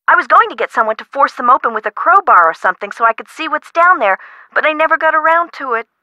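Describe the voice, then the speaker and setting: Casting: dramatic, easily rattled woman homeowner for a game; high, very bright voice, colloquial delivery. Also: fast talker, no room sound, heavily acted. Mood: chatty, explanatory. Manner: emotive, expressive, colloquial. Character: frightened, dramatic woman homeowner, chatty and friendly. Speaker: voice actress in an adventure video game. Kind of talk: game character dialogue acting.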